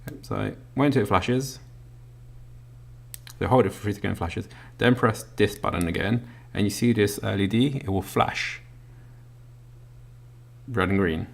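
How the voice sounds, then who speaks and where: A man's voice: fairly low pitch, normal speed, vocal fry, pauses often. A man walking through an unboxing, explaining a gadget casually with many ums and uhs while he handles it. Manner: demonstrating a gadget while talking. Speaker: product reviewer. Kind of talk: unboxing walkthrough.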